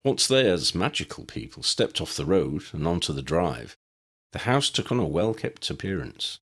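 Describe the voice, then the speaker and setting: A man's voice: sits low, sing-song, moderate pace, a bit bright, breathy edge. A man reading scripted story narration as an audiobook narrator.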